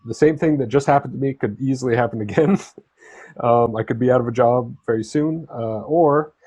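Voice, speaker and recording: Husky-voiced man telling his story. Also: medium pitch, a little fast, darkish tone, no echo, muffled recording. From southern Spain. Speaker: interview guest, freelance writer and travel correspondent. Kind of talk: conversational personal storytelling in an interview.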